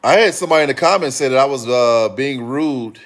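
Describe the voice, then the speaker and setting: Man, from Chicago, livestream host, casual chat, rambling, lots of fillers and restarts, middle pitch. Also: fairly quick. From Chicago, Illinois.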